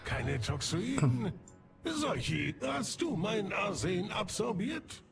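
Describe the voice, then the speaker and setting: Ein Schauspieler spricht einen zerstreuten, unheimlichen untoten Alchemisten in einem Fantasyspiel, stockend und murmelnd. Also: male voice, sits high, sing-song, slow, creaky, music in the background, heavily acted. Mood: forlorn, puzzled. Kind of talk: fantasy game character acting.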